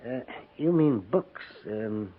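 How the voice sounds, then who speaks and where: A man playing a timid, nervous frontier storekeeper in a radio drama, stammering, dithering and clearing his throat. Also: medium pitch, expressive, takes it slowly. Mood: flustered, nervous. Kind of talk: 1950s radio character acting. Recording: tinny, narrow-band old radio recording.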